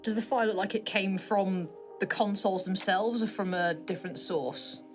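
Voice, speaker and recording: A woman's voice: medium pitch, normal speed, soft background music. A woman talking casually as a player in a tabletop roleplaying game.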